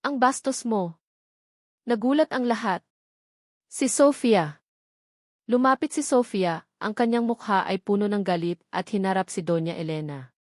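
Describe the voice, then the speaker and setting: A woman's voice: medium pitch, moderate pace, long pauses, darkish tone, no room sound, clean studio sound. A woman reading scripted narration of a dramatic love story.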